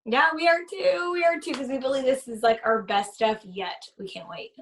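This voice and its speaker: A woman's voice: high-pitched, normal speed, distant mic. Bubbly woman slightly off-mic. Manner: bubbly, laughs often, agrees a lot. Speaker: band member. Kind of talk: casual interview chat.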